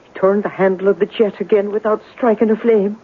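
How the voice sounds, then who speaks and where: Actress as husky, evasive landlady, old radio. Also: mid-range voice, fairly even intonation, brisk, close to an everyday voice. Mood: weary, defensive. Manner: hesitant, stammering, folksy, ungrammatical. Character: uneasy, evasive, working-class boarding house landlady. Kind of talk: 1970s radio drama acting. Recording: muffled narrow-band old radio broadcast.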